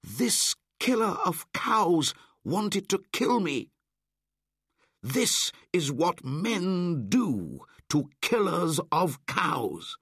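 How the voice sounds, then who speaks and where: A man voicing a fierce young boy raised by wolves, speaking loud and high. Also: sing-song, drawn-out words, vocal fry, long pauses, breathy edge, dry recording, studio quality, full character voice. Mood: defiant. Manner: loud, high, forceful declaring.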